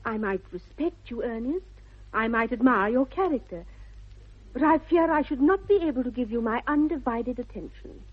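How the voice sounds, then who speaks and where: Prim dreamy young victorian miss, british, high breathy voice, old radio comedy, dull muffled sound. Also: female voice, expressive, normal speed. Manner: precise, earnest, affected delivery. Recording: dull vintage 1960s radio recording.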